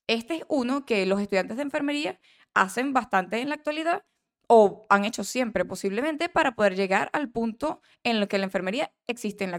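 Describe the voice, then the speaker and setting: A young woman with a somewhat high voice hosts a student podcast. She reads through her material in a careful, earnest manner, walking listeners through each point like a first-time presenter. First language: not Catalan. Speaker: nursing student hosting a podcast. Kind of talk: read-aloud educational podcast.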